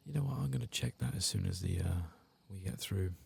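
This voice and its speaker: A soft-spoken man giving ASMR video game commentary, hushed and often drifting into a whisper. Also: low-pitched, a little fast, gravelly, husky.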